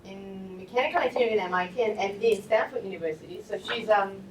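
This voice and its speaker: A woman introducing a guest speaker as seminar host. She pauses often and uses many fillers. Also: medium pitch, slight background noise. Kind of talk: formal introduction. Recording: roomy sound from far off.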